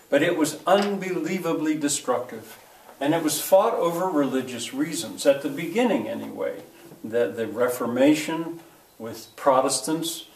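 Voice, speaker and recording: An older man teaches a history class, stopping and restarting with lots of ums and uhs as he works through his notes. His voice comes from a distance in a roomy space with a clear echo. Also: medium pitch, a little mellow, slight background noise. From United States. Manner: halting, full of fillers and restarts. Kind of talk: lecture to a class. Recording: echoey room, voice from far off.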